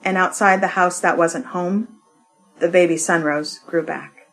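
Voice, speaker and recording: Slow-paced woman reading poetry. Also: on the low side, steady tone, fairly bright tone, rough recording. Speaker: poetry reader.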